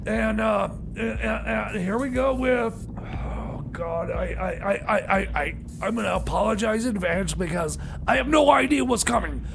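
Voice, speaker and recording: High, creaky, stammering male radio host. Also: normal speed, background noise. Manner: flustered, stammering, hesitant, grumbling. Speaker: radio music show host. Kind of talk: live ad-libbed comic music radio patter.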